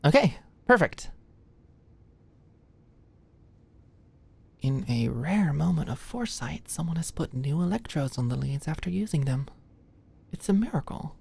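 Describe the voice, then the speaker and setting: A woman playing an anxious, sweet and awkward young female medical student in a soft, intimate ASMR roleplay, with a hesitant manner. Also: deep voice, animated, moderate pace, gravelly, breathy edge, exaggerated voice. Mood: awkwardly joking. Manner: nervous, hesitant, stammering.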